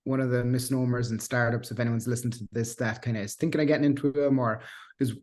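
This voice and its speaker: A man's voice: medium pitch, steady tone, quick pace, darkish tone. Chatty Irish man from Cork. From Ireland. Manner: rambling, many restarts and fillers, self-deprecating laughs. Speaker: guest, software engineer. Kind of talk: casual interview chat.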